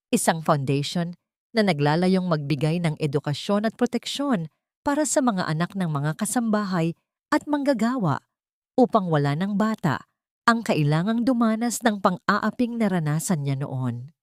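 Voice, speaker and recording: A woman with a low voice reads a sentimental story as scripted narration. Her delivery is measured, earnest and literary, and the sound is dry, with little room around it. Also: lively intonation, darkish tone, no room sound.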